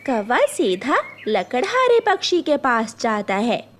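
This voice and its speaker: A woman narrates a children's story in a high voice. She reads the script slowly, with very varied intonation. Slight noise can be heard behind her. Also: big pitch swings.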